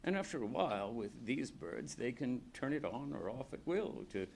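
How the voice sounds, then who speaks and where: A man's voice: medium pitch, very animated, normal speed, creaky, a little mellow. A man playing a hearty, shrewd British police inspector in a live radio play, talking in an expansive, knowing way. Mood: knowing, explanatory. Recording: live theatre stage, faint noise.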